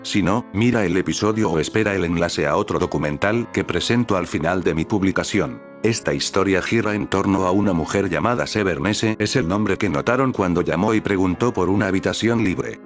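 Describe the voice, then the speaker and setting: Deep-voiced man narrating over faint music. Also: a little fast. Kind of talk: scripted narration.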